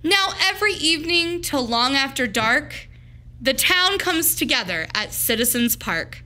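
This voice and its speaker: A woman teacher reading a children's storybook aloud with dramatic, deliberate, expressive phrasing. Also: high voice.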